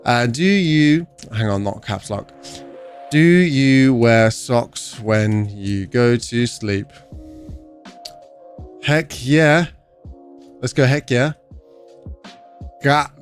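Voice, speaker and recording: A young English man hosting a game stream, talking easily and reading his chat aloud. His voice sits at an ordinary middle pitch, with faint music playing underneath. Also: smooth. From England. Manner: chatty, reads chat aloud and answers viewers. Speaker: livestream host. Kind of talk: casual livestream chat while gaming.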